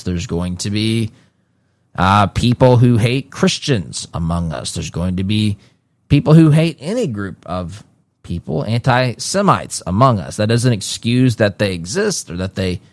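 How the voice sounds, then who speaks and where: A man hosts a solo commentary program, reading passages from an article and stopping to add his own remarks. His voice sits a bit low, and he talks in a measured way, with frequent fillers and restarts. The sound is dry and close to the mic. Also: no echo. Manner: reads from an article and adds his own asides. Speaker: commentary show host.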